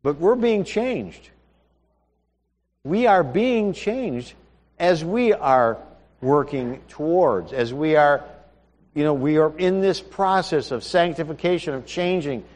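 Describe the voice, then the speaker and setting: A man's voice: on the high side, lively intonation, slow, darkish tone, big-room sound. A man preaching a sermon in a conversational way, asking his listeners questions.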